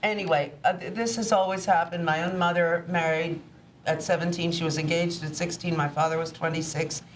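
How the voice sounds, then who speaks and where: A woman's voice: lowish voice, fairly even intonation, a little fast, distant mic, a little hiss or hum, low-quality recording. A woman in her sixties on a talk show panel, cutting in firmly to make her point. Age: 60s. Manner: firm, interjects with "wait a minute". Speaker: panel guest. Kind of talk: casual panel discussion.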